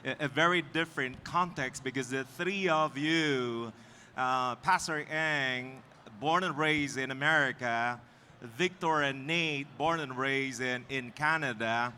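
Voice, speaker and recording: A man moderating a church leadership panel, speaking haltingly with many fillers. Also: medium pitch, pushed and bright, slight background noise.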